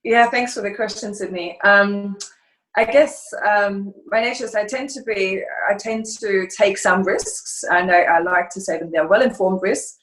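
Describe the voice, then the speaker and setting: A woman's voice: mid-range voice, fairly even intonation, moderate pace, a bit bright. A middle-aged woman from Germany with a German accent, answering interview questions in a thoughtful, hesitant way, on a video call from home. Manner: thoughtful, searching for words, frequent hesitations. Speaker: guest, consultancy founder. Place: home room by a fireplace. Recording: echoey room at home.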